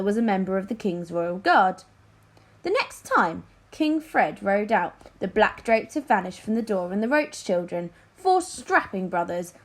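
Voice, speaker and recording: A woman reading a children's story aloud in an expressive, teacherly narration. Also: mid-range voice.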